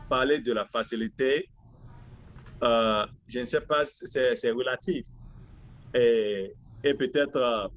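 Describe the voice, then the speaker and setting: A man in his forties from Benin with a Beninese accent, answering interview questions in a halting, deliberate way. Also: medium pitch, muffled recording. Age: 40s. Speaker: interview guest, lawyer.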